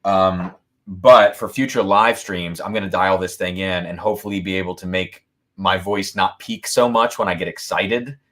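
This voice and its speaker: A man hosting a whiskey-tasting livestream, talking loudly and excitedly, laughing often and reading viewer comments aloud into a boom mic. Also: normal speed. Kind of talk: casual live-stream chat. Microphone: boom mic moved back from mouth.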